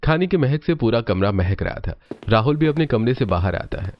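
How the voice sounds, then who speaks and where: A man's voice: mid-range voice, animated, brisk. A man narrating a scripted cartoon story. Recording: muffled audio over loud music.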